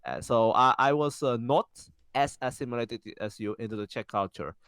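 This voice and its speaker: A young man co-hosting a podcast, speaking casually in halting sentences with many restarts and fillers. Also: medium pitch, a bit bright, clear, resonant tone, no room sound, clean studio sound. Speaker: podcast co-host. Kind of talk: casual conversational chat.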